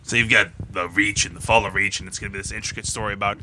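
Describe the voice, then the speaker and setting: A man with a strikingly bright, cutting voice at a medium pitch. He co-hosts a podcast in casual, slangy chat with a joking and crude streak. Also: fairly even intonation, racing, slight background noise, rough recording. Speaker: podcast co-host.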